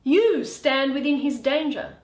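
Casting: earnest young advocate, played by a woman, high voice, persuasive classical verse, recorded in an echoey room. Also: animated, darkish tone. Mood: measured, earnest. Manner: deliberate, persuasive. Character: earnest, measured young male lawyer pleading for mercy. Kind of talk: dramatic Shakespeare reading.

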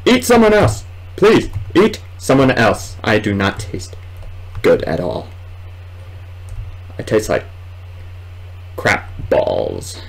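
A young man giving casual, excitable commentary while playing a horror game, muttering and exclaiming to himself. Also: mid-range voice, slow, gravelly, pauses often, poor audio. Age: young adult. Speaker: gamer recording a let's play. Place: small indoor room at home.

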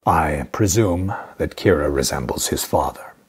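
An actor playing a guarded, haughty guardian who speaks formally and curtly in an audio drama. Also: male voice, very deep voice, lively intonation, unhurried, husky, full character voice. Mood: curt, defensive. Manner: formal, curt, dry.

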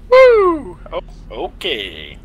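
A man in casual voice chat during an online game, loud, brash and swearing a lot. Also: high-pitched, wildly swooping pitch, extremely slow, muffled recording. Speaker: gamer on voice chat.

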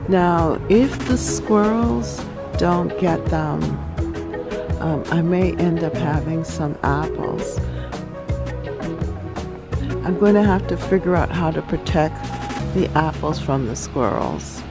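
A woman hosting a relaxed garden tour outdoors, talking reflectively with many pauses and ums. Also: lowish voice, music in the background. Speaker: garden channel host. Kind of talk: casual garden tour vlog.

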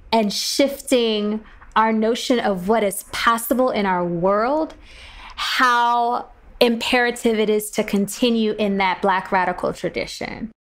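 A woman speaking as an interview guest, reflecting on her creative work. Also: a little high.

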